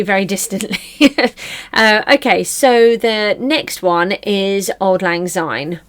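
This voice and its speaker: A middle-aged woman hosting her own channel, chatting casually to camera in a rambling, self-deprecating way. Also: medium pitch. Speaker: channel host.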